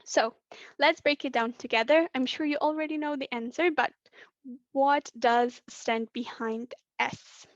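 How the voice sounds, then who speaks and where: Slow high-pitched woman presenter, hoarse. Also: fairly even intonation, no echo, highs cut off. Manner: deliberate, drawn-out letter by letter. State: losing her voice. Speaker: webinar presenter. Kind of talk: online training session. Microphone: computer mic on a video call.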